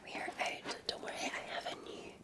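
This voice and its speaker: A Scottish woman speaking in a soft, breathy whisper, gently and soothingly chatting to the listener as a barista in an ASMR roleplay. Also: brisk. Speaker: ASMR performer playing a cafe barista. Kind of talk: ASMR roleplay, unscripted-sounding chat to the listener.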